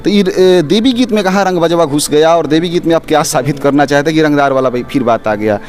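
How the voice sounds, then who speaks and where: A man with a moderately high voice conducts an interview. He is persistent and pointed, probing his guests with question after question. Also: fast, darkish tone.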